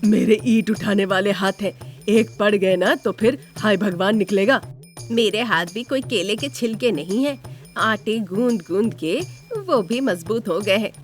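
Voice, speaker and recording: A woman's voice: medium pitch, unhurried, soft background music, lightly acted. A woman voicing a stern, quarrelsome older mother-in-law in a scolding, sarcastic manner for a family story. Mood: combative.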